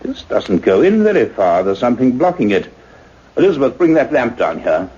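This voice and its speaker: An actor playing an earnest, intense male scientist in a radio play, with deliberate, dramatic phrasing. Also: medium pitch, sing-song, warm tone, breathy edge. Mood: intent, curious. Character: earnest male scientist, doctor, excited yet grave and cautious. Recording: dull lo-fi radio recording, faint music.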